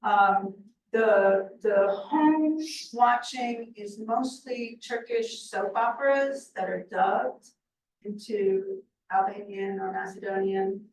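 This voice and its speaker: An older woman answering questions on a video call in a chatty way, laughing often and trailing off softly. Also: mid-range voice, takes it slowly. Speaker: presenter. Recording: echoey room from far off, faint noise.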